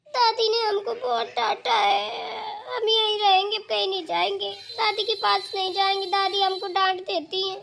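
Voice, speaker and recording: Cartoon sulky young daughter-in-law, played by a woman: extremely high pitch, whiny, stubborn, childlike. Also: little pitch movement, measured pace, vocal fry, a bit bright, full character voice. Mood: tearful, hurt. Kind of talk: Hindi village family cartoon acting.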